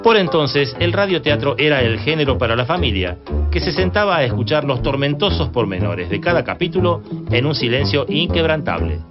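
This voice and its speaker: A man's voice: fairly high pitch, big pitch swings, brisk. A man with an Argentine accent reading scripted documentary narration. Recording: dull band, loud music behind.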